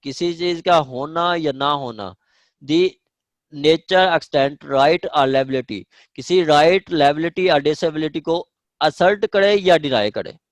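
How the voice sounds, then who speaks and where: Pakistani guy teaching an online law class, voice a bit high, rephrases stuff and checks you get it. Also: no echo. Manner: repeats and rephrases key points, checks understanding. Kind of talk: online lecture, explaining legal definitions. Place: online class over screen share.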